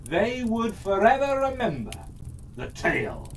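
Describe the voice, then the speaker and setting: A storyteller narrating a children's fairytale in a theatrical way, pausing often within phrases. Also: mid-range voice, wildly swooping pitch, far from the mic, a little hiss or hum.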